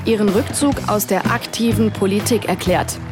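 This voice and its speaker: An actress playing a formal political correspondent, delivering a measured scripted news report. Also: female voice, medium pitch, music in the background, natural voice. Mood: serious.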